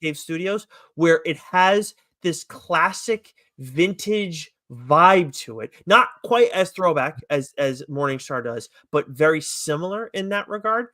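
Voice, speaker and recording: A man's voice: on the high side, expressive, measured pace, few pauses, sharp tone, no room sound, clean studio sound. A man co-hosting a podcast, chatting casually about comics in a rambling, enthusiastic, loosely profane way. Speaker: podcast co-host reviewing comics. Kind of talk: casual chat review.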